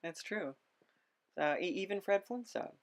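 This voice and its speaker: Woman podcast host, slightly low voice, casual and playful chat. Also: animated, measured pace.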